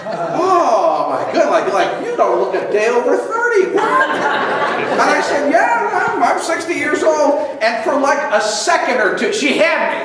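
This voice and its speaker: Animated older man preaching, echoey hall. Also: very high-pitched, measured pace, a loud crowd. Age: 60s. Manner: humorous, chuckling, lively storyteller. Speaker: pastor. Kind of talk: storytelling sermon. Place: large church hall with congregation. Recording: echoey hall from far off, congregation audible.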